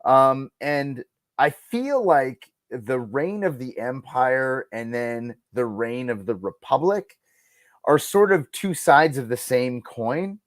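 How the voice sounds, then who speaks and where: A man's voice: mid-range voice, expressive, takes it slowly, no room sound. A man talking casually as a podcast guest, giving emphatic, musing opinions peppered with "like" and "you know".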